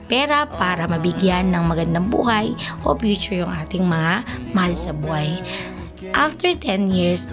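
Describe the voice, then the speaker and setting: A Filipino woman narrating a personal vlog as a small business owner, speaking earnestly and mixing in English phrases. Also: medium pitch.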